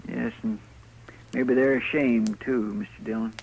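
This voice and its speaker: Radio western sidekick: male actor as a folksy deputy, gravelly edge, faint old-recording noise. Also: medium pitch, steady tone, measured pace, a little mellow, close to an everyday voice, low-quality recording. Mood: earnest. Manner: rambling, homespun. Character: folksy, deferential, earnest marshal's sidekick, man. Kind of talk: 1950s radio acting.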